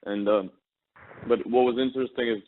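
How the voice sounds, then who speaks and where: A man interpreting on a press conference call, pausing hesitantly as he translates. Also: mid-range voice, steady tone. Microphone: telephone conference call. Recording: tinny phone line, faint noise, clicks.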